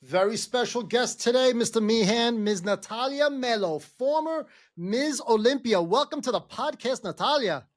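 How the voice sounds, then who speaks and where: A man's voice: very high-pitched, lively intonation, normal speed. A man co-hosting a podcast, joking in casual banter and asking questions. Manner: joking, wry interjections. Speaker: podcast co-host.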